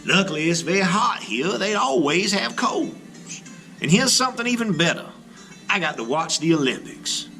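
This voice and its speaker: A man's voice: sits high, expressive, moderate pace, sharp tone, faint music behind, voice pushed up, heavily acted. A man reading as a chatty, wide-eyed little toy traveler writing a letter, full of eager childlike wonder. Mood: amazed, chatty.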